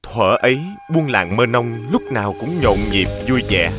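A man's voice: medium pitch, expressive, moderate pace, over music. A man giving scripted storybook narration of a folk legend.

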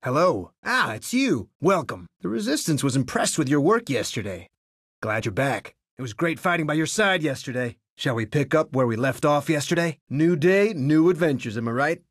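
Cocky young pilot, swinging pitch, dry sound. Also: male voice, big pitch swings, normal speed, husky, no echo. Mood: warm, friendly. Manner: breezy, confident, wisecracking. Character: cocky, friendly young male resistance pilot. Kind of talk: video game character voice clips.

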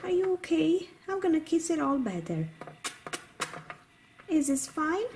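A woman's voice: high voice, sing-song, unhurried, background noise, voice pushed up, exaggerated voice. A woman voicing a small, sweet, concerned toy bunny in children's pretend play.

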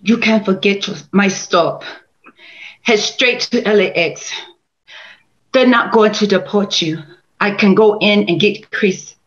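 Woman acting a slow-spoken, resolute helper. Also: mid-range voice, natural voice. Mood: resolute, reassuring. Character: resolute, kind woman offering help. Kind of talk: script table read. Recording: dull lo-fi video call.

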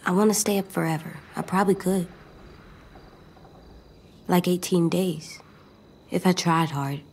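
A little boy survivor in a dramatic video game. He is solemn, stubborn and anxious, with a small, creaky, low voice and a quiet, guarded delivery. Night insects and noise sit faintly behind. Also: steady tone, measured pace.

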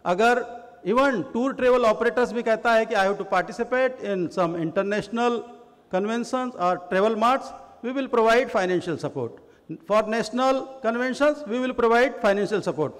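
Middle-aged Indian official, high pitch, podium speech in a reverberant hall. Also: male voice. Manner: bursts of rapid Hindi between slower English. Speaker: government official. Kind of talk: conference speech mixing Hindi and English. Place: large conference hall, at a podium. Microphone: podium microphone.

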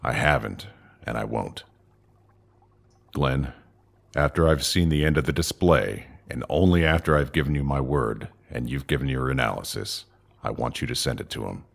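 An extremely deep, gravelly alien leader for a sci-fi audio drama. He is husky and commanding, speaking with weary, curt authority. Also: moderate pace, full character voice. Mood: grave, firm. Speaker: voice actor.

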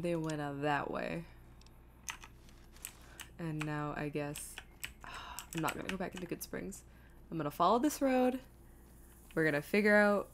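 A woman with a slightly low voice gives casual live commentary on a game she is playing. She thinks aloud, often exasperated and poking fun at herself. There is faint background noise with some keyboard typing. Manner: self-deprecating, exasperated muttering to herself. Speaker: video game streamer.

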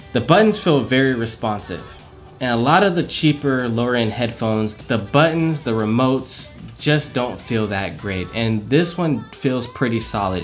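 A young man talks through a gadget review from his desk at home, relaxed and conversational. He leans on repeated words for emphasis, with faint music underneath. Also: medium pitch. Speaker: tech reviewer. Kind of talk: casual product review to camera. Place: small home room with desk and monitors.

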